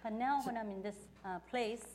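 A woman working as a church interpreter, relaying a testimony phrase by phrase in a halting delivery. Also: a little high, darkish tone. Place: church service.

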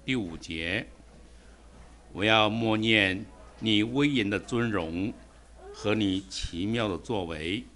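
Male reader, very slow bright low voice, psalm read verse by verse, faint ambience. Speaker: scripture reader. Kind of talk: slow scripture reading.

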